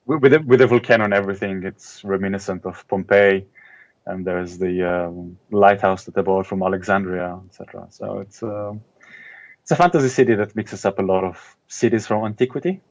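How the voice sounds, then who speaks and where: A middle-aged man from Israel, an author being interviewed, speaking in a thoughtful, casual way with many pauses and ums. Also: deep voice, dull audio.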